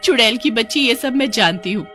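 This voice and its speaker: Wicked female ghost for a horror cartoon, acted by a woman: gloating, mocking, theatrical, highly animated. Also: brisk, faint music behind.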